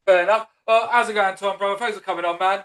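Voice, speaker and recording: A man's voice: high-pitched, fairly even intonation, quick pace, a bit bright. A man with a Cockney accent, from East London, hosting a casual interview, swearing freely and calling his guest brother and man. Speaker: podcast host.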